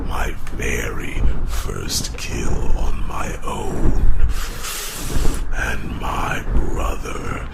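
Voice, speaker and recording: Huge dragon, abyssally deep growl. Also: male voice, exaggerated voice. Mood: proud, brooding. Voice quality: gravelly, growling, breathy rasp. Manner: slow, grave, halting dramatic delivery. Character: huge proud fierce male dragon, storyteller to a human. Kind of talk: ASMR fantasy roleplay monologue. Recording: noisy, steady rushing ambience behind voice.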